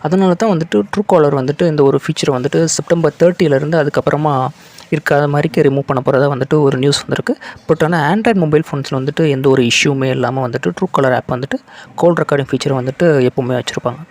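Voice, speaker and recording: A man's voice: fairly high pitch, fast, darkish tone. A man presenting tech news in an informal, explanatory way, conversational and peppered with filler words and English terms. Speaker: tech news video host.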